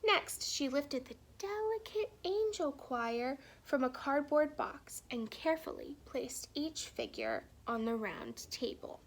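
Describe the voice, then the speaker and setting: A woman reading a children's story aloud in an expressive, animated storytelling manner with hushed, dramatic phrasing. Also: sits high, slow pace, hardly any pauses. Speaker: teacher or reader reading a children's picture book aloud.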